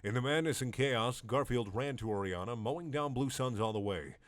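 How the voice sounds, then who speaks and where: A man reads fan fiction aloud in a deadpan, mock-serious voice, dropping in wry asides. His voice sits at an ordinary pitch. The recording is close and dry. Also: moderate pace, no room sound. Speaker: dramatic reader of fan fiction. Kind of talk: comedic deadpan reading of bad fan fiction.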